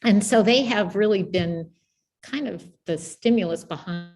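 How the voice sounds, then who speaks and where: A soft-spoken middle-aged woman with a quiet voice, giving a friendly, informal public science talk as guest speaker. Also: mid-range voice, a little slow.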